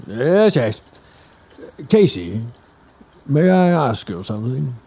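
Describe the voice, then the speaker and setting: An actor playing an excitable, pompous older scholar in an audio drama, speaking in a theatrical, drawn-out way. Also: male voice, medium pitch, creaky, slightly rough, full character voice. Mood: relaxed.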